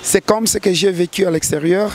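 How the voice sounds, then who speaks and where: A man's voice: high voice, a little fast, a little mellow. A man, a gospel singer answering interview questions earnestly, often restarting his sentences. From DR Congo. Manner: earnest, hesitant with repeated restarts.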